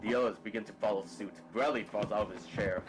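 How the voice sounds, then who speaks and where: A man's voice: fairly low pitch, fairly even intonation, quick pace. A man narrating a scripted story in the present tense, describing the action as narrator.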